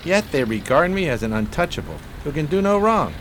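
A man's voice: on the high side, big pitch swings, fairly quick, a bit bright, slight background noise. An actor playing an eloquent, melancholy homeless man of 1920s New York in florid radio-theater style. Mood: resigned, weary. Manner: florid, grandiloquent, theatrical phrasing.

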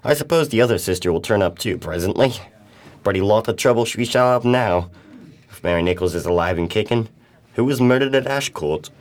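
Man acting a low-voiced, plain-spoken police inspector. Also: animated, brisk, natural voice. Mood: puzzled, matter-of-fact. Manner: matter-of-fact, colloquial. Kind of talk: period detective radio drama acting.

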